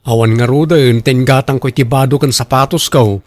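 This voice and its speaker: An actor with an Ilocano accent playing a boastful, defensive husband who loves showing off, in a radio drama. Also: male voice, medium pitch, fairly even intonation, moderate pace, no echo, close to an everyday voice. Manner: showy, bragging. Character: boastful, defensive husband and co-worker obsessed with costly branded clothes.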